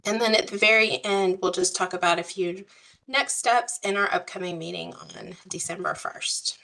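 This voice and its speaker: A woman, hoarse from a cold, facilitating an online work group meeting in a friendly, procedural way. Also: lowish voice, normal speed, sharp tone, low-quality recording. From US South. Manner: friendly, procedural, uses y'all. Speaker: meeting facilitator, policy advisor. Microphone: video call.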